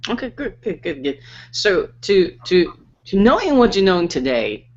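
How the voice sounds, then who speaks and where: Excited guy hosting an online hangout, kinda high voice, muffled sound. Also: moderate pace. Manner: hyped, salesy, many repetitions and fillers. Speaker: interview host. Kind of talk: online interview.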